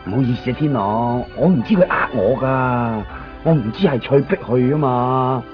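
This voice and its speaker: A man's voice: mid-range voice, expressive, moderate pace, close to an everyday voice. An actor plays an anxious, apologetic buddy in a Hong Kong Cantonese radio drama. His middling voice is talkative and evasive. It is an old, dull recording with some music behind. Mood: pleading. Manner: hesitant, stammering, pleading. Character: nervous, apologetic longtime male friend, talkative and evasive.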